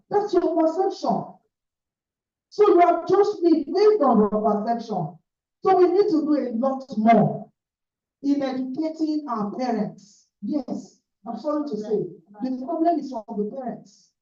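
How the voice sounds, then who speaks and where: A woman's voice: sits high, expressive, unhurried, dark tone. A woman from Nigeria speaking earnestly and emphatically at a club meeting, recorded from across the room. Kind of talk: impassioned remarks at a meeting. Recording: echoey room, distant dull sound.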